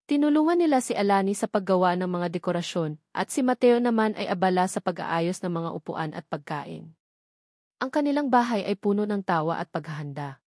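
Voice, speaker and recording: A woman's voice: lowish voice, animated, brisk, a little mellow, no room sound, studio quality. A woman reading a scripted, dramatic love story as its narrator.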